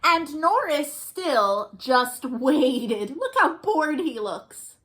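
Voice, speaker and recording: A woman's voice: high voice, very animated, very slow, fairly bright tone. A woman hosting a children's storytime, talking directly to young listeners in a playful, encouraging way. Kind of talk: children's storytime read-aloud with warm-ups.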